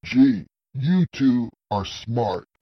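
A man voicing a slow-witted, dopey sidekick with a husky voice in a fairy tale audio drama. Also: medium pitch, animated, dry recording, highs cut off, exaggerated voice. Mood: dopey wonder.

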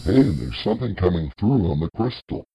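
A man voicing a dim-witted, hapless henchman in a fantasy audio drama, naive and slow on the uptake. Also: deep voice, sing-song, warm tone, husky, no room sound, exaggerated voice. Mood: puzzled, eager. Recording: muffled, lo-fi dry recording.

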